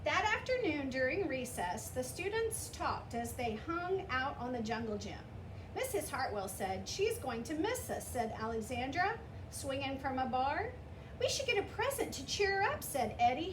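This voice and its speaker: A woman's voice: high voice, very animated, normal speed. A woman reading a children's picture book aloud, giving the dialogue expression. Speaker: storybook reader. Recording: roomy, distant mic, clear background noise.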